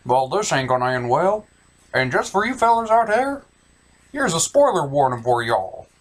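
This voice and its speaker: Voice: mid-range voice, very animated, normal speed. A theatrical, exaggerated host narrating a scripted comedic movie recap.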